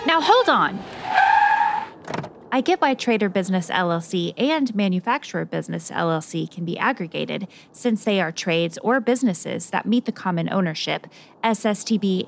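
Lady doing a plucky reporter gal in a radio drama, mid pitch, inquisitive, faint street noise behind. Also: vocal fry. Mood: questioning. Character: plucky, self-assured female investigative reporter. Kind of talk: scripted radio drama dialogue.

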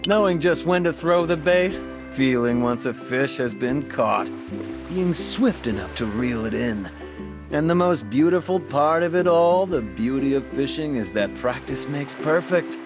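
An excitable young comic sidekick in an anime game, boastful and dramatic. His pitch leaps around as he draws words out slowly over light music. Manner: exclamatory, dramatic.

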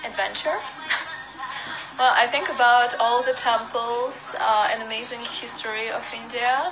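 A woman's voice: on the high side, a little slow, low-quality recording. A young woman from Russia with a Russian accent, casually chatting with viewers and reading out their comments on a phone livestream from her living room. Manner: relaxed, chatty, laughs often, answers comments one by one. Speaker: model livestreaming to fans. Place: living room of an apartment, indoors. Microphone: phone at arm's length. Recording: phone livestream with music behind. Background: music playing.

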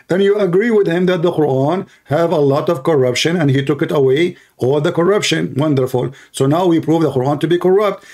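A man's voice: fairly high pitch, a little mellow. A man hosting an online debate, combative and mocking, repeating his demands. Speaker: debate host.

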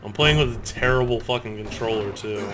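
A man's voice: on the low side, brisk. A man doing casual let's play commentary, rambling with heavy swearing and sarcasm. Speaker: gaming video host. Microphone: close gaming microphone. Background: game audio.

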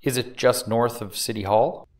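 A man's voice: medium pitch, steady tone, moderate pace, a little mellow, distant mic, lightly acted. A man playing a formal prosecutor putting probing questions to a witness in a dramatic trial reading.

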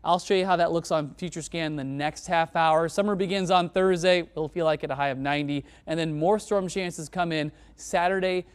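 A man in his thirties presenting a TV weather forecast in a studio, talking in a relaxed, conversational way on a lapel mic. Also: on the high side, moderate pace, hardly any pauses, clean tone. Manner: relaxed, conversational delivery with casual words. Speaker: TV meteorologist. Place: TV studio in front of weather graphics.

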